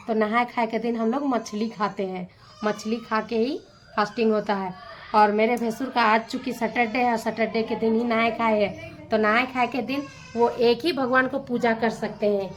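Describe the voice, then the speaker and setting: A young woman, hoarse from a sore throat, chatting candidly in a village vlog while she cooks. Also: a little high, echoey room. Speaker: vlogger. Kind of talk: casual vlog narration while cooking. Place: village home kitchen.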